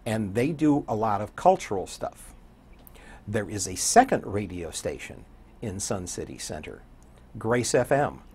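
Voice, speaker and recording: An older man talking conversationally as an interview guest, a radio DJ with a husky voice. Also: lowish voice, very animated, a little slow, a little mellow. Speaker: guest, radio program director and morning show DJ. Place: indoor TV talk show studio.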